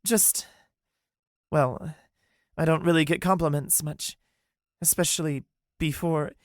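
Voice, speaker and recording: A man's voice: fairly high pitch, fairly even intonation, slow, dry recording, studio quality, pitched above the performer's own voice. A man voicing a shy, earnest young woman mage who speaks hesitantly.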